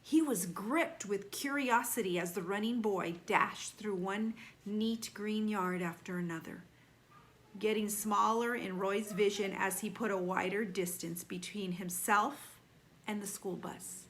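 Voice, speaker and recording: Female storyteller for a kids' read-aloud: bright, mid-range voice, expressive and teacherly, leaning on key phrases. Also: medium pitch, slight background noise. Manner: animated storytelling with deliberate emphasis and dramatic slowing. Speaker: teacher reading aloud to children.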